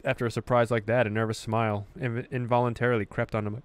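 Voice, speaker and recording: A man reading a horror visual novel aloud as a gaming host, with expressive storytelling and casual delivery. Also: mid-range voice, fast talker, clean tone.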